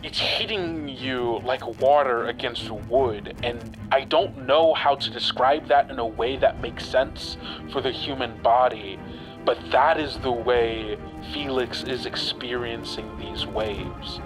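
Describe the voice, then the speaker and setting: A man narrating a tabletop game as game master, in measured, suspenseful storytelling. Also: mid-range voice, poor audio. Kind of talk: slow dramatic tabletop narration. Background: music bed.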